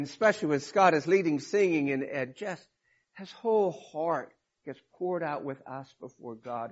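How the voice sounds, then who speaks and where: A man preaching a sermon in a hoarse, raspy voice from a cold, talking informally with many restarts. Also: on the high side, fairly bright tone, lo-fi sound. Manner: informal, rambling with restarts and fillers. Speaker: pastor.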